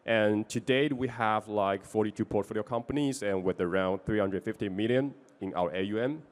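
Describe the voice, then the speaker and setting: Male investor, Taiwanese accent, lowish voice, giving a business pitch in non-native English. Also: moderate pace. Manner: non-native English with small grammar slips. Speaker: venture capital investor presenting his fund.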